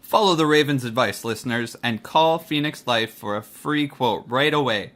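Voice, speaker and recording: An actor playing an earnest radio drama announcer, delivering a sponsor read in an old-time style. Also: male voice, mid-range voice, normal speed, fairly bright tone, lightly acted. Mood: earnest pitch. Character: earnest male radio-mystery announcer pitching life insurance.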